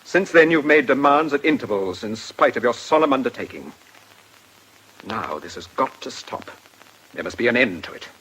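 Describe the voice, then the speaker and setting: An actor playing a guarded, quietly defiant older man in a radio drama, speaking in a clipped, formal way. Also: mid-range voice, lively intonation, normal speed, slight background noise, natural voice, low-quality recording. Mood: firm defiance. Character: guarded, stern older male blackmail victim, quietly defiant.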